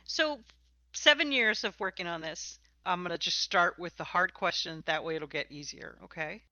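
Female podcast host, casual and encouraging, crisp forward voice on dry, slightly lo-fi audio. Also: on the low side, moderate pace, bright, forceful tone, dry recording. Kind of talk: casual conversational interview.

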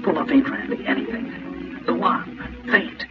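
An actor playing a reluctant groom's sly, needling conscience in 1940s radio drama style. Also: male voice, a little slow, very noisy, close to an everyday voice. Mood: sly, taunting. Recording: distant muffled 1940s radio, music behind.

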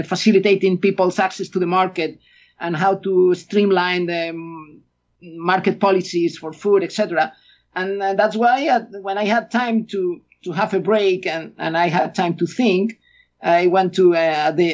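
A high-voiced, middle-aged man with a Spanish accent answers podcast questions with frequent restarts and fillers. His audio sounds a little dull and lo-fi, like a remote call. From Spain. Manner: halting, many restarts and fillers. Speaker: guest. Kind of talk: podcast interview.

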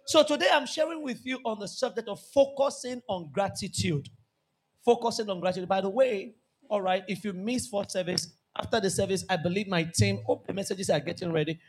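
Nigerian pastor guy, kinda high voice, preaching lively and repeating himself. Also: a little fast, slightly rough. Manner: lively, repetitive preaching with asides to the congregation. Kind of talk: sermon. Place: church.

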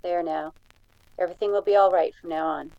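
A woman acting in a radio drama as a compassionate grown daughter, hushed and soothing at a bedside. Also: fairly low pitch, steady tone, a little fast, dry recording, highs cut off, natural voice. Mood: gentle.

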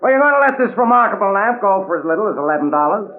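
An actor playing a jovial charity auctioneer with showy, coaxing patter in a 1940s radio drama. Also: male voice, sits high, very animated, rapid-fire. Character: jovial, fast-patter male charity auctioneer working a crowd. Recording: tinny, noisy 1940s radio recording.

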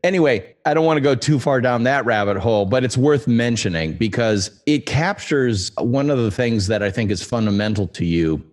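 A man hosting a podcast, asking questions in an animated, playful way. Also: medium pitch, normal speed, hardly any pauses. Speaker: podcast host. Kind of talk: podcast interview and ad read.

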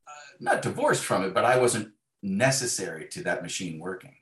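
Male author guest, lowish pitch, wry and self-effacing in casual interview talk, roomy echo. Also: expressive, moderate pace. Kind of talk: casual reflective interview conversation. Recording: echoey room.

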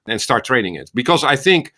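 A middle-aged man with a Dutch accent talks at length in an interview, working through his points with small restarts. His voice is bright and forward, set at an ordinary pitch for a man. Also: sing-song, fairly quick. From Netherlands. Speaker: guest, ophthalmologist and AI researcher. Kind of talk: thoughtful interview answers.

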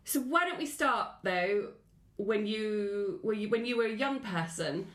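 A woman's voice: mid-range voice, expressive, unhurried, a bit bright, reverberant, faint music behind. A woman hosting an interview podcast. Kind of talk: scripted podcast introduction, then conversational interview.

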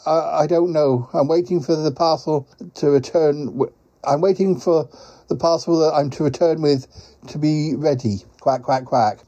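Casting: male performer as a fussy, polite duck courier for comedy audio; highish, hesitant, quack-punctuated, slightly muffled recording. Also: normal speed, mellow. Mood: mildly wistful. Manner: hesitant, rambling, quacks as a verbal tic. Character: flustered, polite talking duck courier, male, pie-stuffed traveller. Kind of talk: comic audio skit for a podcast.

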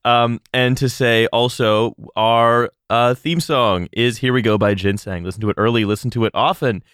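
A man's voice: mid-range voice, moderate pace, clear, resonant tone, no room sound, studio quality. A man hosting a podcast, wrapping up in a jokey, casual way. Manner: jokey, lively delivery. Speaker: podcast host. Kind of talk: casual podcast chat and sign-off.

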